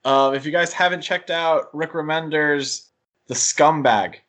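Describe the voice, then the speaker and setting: A man's voice: fairly high pitch, a little slow, fairly bright tone. A man hosting a podcast in a casual, conversational chat style. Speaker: podcast host.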